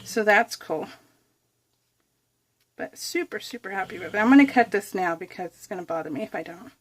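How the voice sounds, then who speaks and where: A woman's voice: medium pitch, expressive, normal speed, husky. A woman vlogger chatting casually through a craft project as she works, giggly and self-deprecating, rambling from one thought to the next. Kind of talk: casual chatty craft tutorial, narrated in real time.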